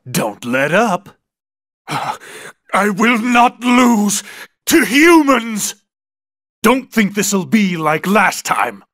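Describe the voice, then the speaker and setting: A jackal-headed warrior villain from a video game, bent on conquest. He taunts theatrically and very slowly, in a haughty, swooping voice. The sound is dry and close. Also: on the high side, fairly bright tone, no room sound, exaggerated voice. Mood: furious, defiant.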